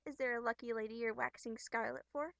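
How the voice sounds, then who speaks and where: A woman voicing a bubbly, earnest young troll girl from a webcomic, scolding but caring, with theatrical, expressive delivery. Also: a little high, fast, creaky, dry recording. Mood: coy, curious. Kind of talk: amateur character voice acting from a webcomic.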